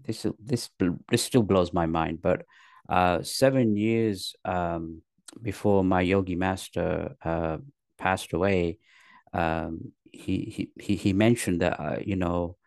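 A middle-aged man from Pakistan, raised in England, recounts his life as an interview guest. His voice sits very low with a gravelly edge, and he stumbles through restarts and fillers. The sound is dry and close. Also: darkish tone, no room sound. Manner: rambling, halting with many fillers and repeated words. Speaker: guest, actor and comedian. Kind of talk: casual interview storytelling.

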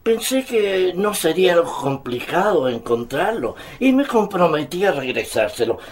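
A man's voice: a little high, big pitch swings. An actor playing a devout elderly grandfather in a radio drama, speaking earnestly and patiently like someone recounting a cherished memory. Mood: earnest recollection. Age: older. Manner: earnest, hesitant openings, measured storytelling. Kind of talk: Spanish-language radio drama acting.

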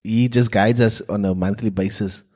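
A man's voice: on the low side, steady tone, normal speed, darkish tone. A South African man whose first language is Afrikaans, co-hosting a podcast in casual chat and speaking bluntly with frequent swearing. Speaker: podcast co-host.

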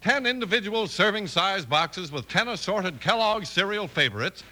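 A man's voice: high-pitched, animated, normal speed, pushed and bright. A man announcing in polished, emphatic 1950s radio style, reading scripted copy. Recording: old radio broadcast recording.

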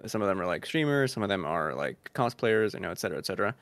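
Fast gravelly-voiced joking man. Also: sits low, big pitch swings, a bit bright, no echo. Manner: joking, teasing. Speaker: podcast co-host. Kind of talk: casual podcast chat.

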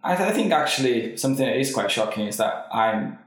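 A man from Brazil with a croaky voice, speaking thoughtfully in a casual interview with frequent pauses. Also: mid-range voice, very animated. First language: Portuguese. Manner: thoughtful, hesitant with frequent ums. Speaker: language school director. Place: language school. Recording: very echoey room.

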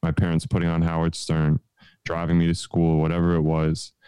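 A man's voice: deep voice, monotone, a little fast, dark tone, clean tone, no room sound. A man co-hosting a podcast, chatty and self-mocking. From New York. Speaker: podcast co-host. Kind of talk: casual interview chat.

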